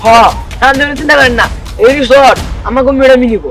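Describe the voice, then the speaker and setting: Fawning sidekick for web drama, male actor: very high voice, colloquial Bangladeshi accent, obsequious, music behind. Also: very fast, fairly bright tone. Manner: obsequious, reassuring. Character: eager, fawning male assistant to a rich boss. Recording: music over the dialogue.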